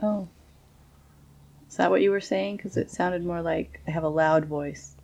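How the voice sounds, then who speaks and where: A woman with a slightly low voice trades wry, casual banter as a podcast co-host. She tells stories with animation and laughs often. Her voice comes through clear and full even when she sits back from the mic. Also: unhurried. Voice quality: voice carries clearly from a distance. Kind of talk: casual chat.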